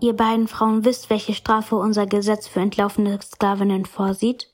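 An actress playing a stern crown princess who formally interrogates two runaways in a radio drama. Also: female voice, mid-range voice, little pitch movement, normal speed, darkish tone, soft background music, close to an everyday voice. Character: stern young crown princess passing judgment.

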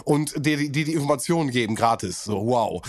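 Excitable man in his thirties. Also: medium pitch, fairly quick, dry recording, clean studio sound. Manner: enthusiastic, bursts into excited exclamations. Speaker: podcast co-host. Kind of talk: casual podcast chat.

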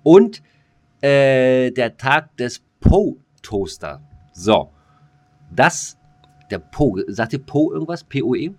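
A man's voice: medium pitch, sing-song, takes it slowly, darkish tone. A man co-hosting a podcast in casual comedic banter, rambling and throwing in jokey asides. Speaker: podcast co-host.

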